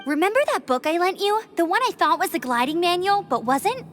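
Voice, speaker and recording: A woman's voice: extremely high pitch, animated, fairly quick. A young woman voicing a spirited, bold outrider in a video game, upbeat and earnest. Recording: game audio with music behind.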